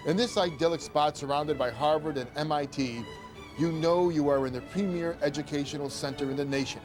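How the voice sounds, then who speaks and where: A man's voice: a little high, fairly quick, over music. An older man hosting a travel show, giving upbeat, conversational tour-guide narration.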